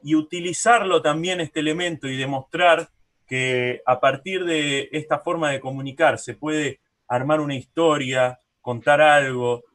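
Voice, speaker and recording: A man's voice: on the high side, fairly even intonation, a little slow, a bit bright. A man hosting a radio interview, talking conversationally in short, hesitant fragments.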